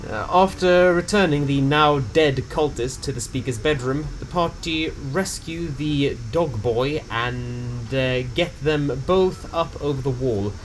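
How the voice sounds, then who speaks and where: A man's voice: mid-range voice, measured pace, few pauses, a little hiss or hum, rough recording. A man narrating a tabletop game story recap in a casual, dramatic storytelling way with some slang. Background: music.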